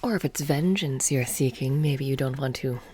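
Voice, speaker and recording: A woman playing a sly, mysterious witch shopkeeper in a soft-spoken ASMR roleplay, hushed and breathy, slipping in and out of whispers. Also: very deep voice, normal speed, pitched below the performer's own voice. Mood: probing, coaxing.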